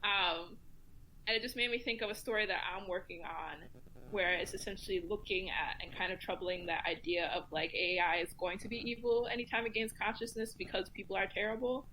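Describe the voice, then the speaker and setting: A woman's voice: medium pitch, steady tone, fairly quick, sharp tone, slight background noise, low-quality recording. A woman speaking as a podcast guest, reflective and casual, thinking aloud as she talks. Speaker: podcast guest, community commentator. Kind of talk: casual round-table discussion, thinking aloud.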